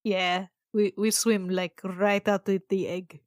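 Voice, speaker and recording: A woman's voice: medium pitch, little pitch movement, slow, dry recording, clean studio sound. A woman chatting casually and improvising in character as a player in a tabletop role-playing game.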